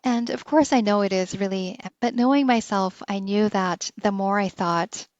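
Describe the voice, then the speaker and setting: A woman of middle pitch hosts her podcast in a casual, reflective monologue. She talks earnestly, pausing on "um"s and slipping in small laughs. The recording is close, dry and a little dull, with the treble rolled off. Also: dry recording. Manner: earnest, with frequent "um"s and soft laughs. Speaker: podcast host.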